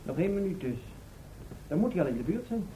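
A woman playing a brisk, methodical, matter-of-fact searcher at a camp in a radio play. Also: lowish voice, sing-song, warm tone. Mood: expectant. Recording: noisy, band-limited old radio play recording.

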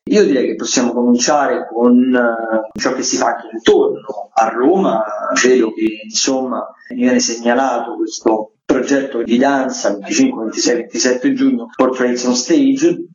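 A man with an average-pitched voice, heard in a roomy, echoey space with slightly dull sound. He comments like a radio arts critic, in long, articulate, discursive sentences. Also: fairly even intonation, a little slow, hardly any pauses, darkish tone. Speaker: theatre critic and radio contributor. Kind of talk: spoken radio commentary on theatre news.

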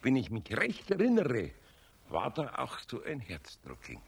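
An actor playing a good-natured, patient older master carpenter in a radio play, gravelly and husky, speaking conversationally with a Bavarian accent. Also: male voice, medium pitch, wildly swooping pitch, moderate pace, heavily acted. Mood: firm, coaxing.

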